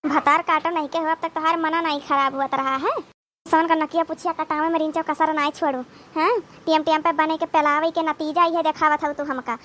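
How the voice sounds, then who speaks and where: A woman's voice: high voice, flat delivery, a little fast, fairly bright tone, slight background noise. A woman playing a scolding but protective village sister-in-law in an Awadhi comedy cartoon, exclamatory and rustic. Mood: scolding, exasperated. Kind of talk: dramatic comedy cartoon dialogue.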